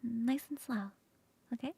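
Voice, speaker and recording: A woman voicing a flirty female coworker in an ASMR roleplay, close to the mic in a soft, playful whisper. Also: fairly high pitch, unhurried, creaky, slightly rough, full character voice. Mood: teasing whisper.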